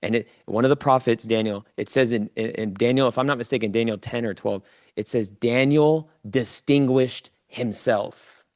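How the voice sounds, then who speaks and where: A man with a mid-pitched voice presents a motivational coaching talk in a close, dry recording. He talks informally and straight to his listeners, leaning on key phrases and repeating them for emphasis. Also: normal speed, no echo. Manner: informal, addresses listeners directly. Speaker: presenter coaching a live online audience.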